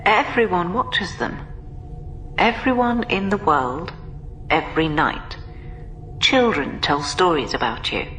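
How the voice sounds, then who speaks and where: Low-voiced, slow, formal woman. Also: animated, soft background music. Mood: grave. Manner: formal, measured, solemn, breathy hushed moments. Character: prim, formal woman keeper of a quarantined astronaut, ageing. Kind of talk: radio drama acting.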